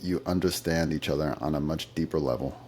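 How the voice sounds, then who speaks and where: Very deep-voiced man telling stories. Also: fairly quick, a little mellow, a little hiss or hum. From United States. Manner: thoughtful storytelling, occasional fillers. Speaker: interview guest, interpreter. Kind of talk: relaxed conversational interview.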